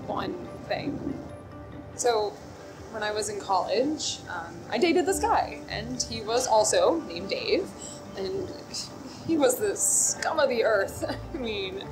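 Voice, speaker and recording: A young American woman rambles through a voicemail in a comedy short. Her voice sits a little high and she takes her time, hesitating and then veering into indignant, foul-mouthed asides. Music plays clearly behind her. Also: low-quality recording. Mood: awkward, hesitant, then bitter. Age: young adult.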